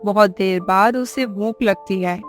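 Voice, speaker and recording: Female story narrator: scripted delivery, unhurried, light music underneath. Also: medium pitch.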